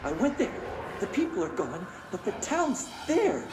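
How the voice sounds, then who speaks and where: An actor playing a frightened, agitated caller to a radio show in a game, halting and urgent. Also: mid-range voice, huge pitch swings. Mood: shaken, halting. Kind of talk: radio call-in drama. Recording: echoey, very noisy call.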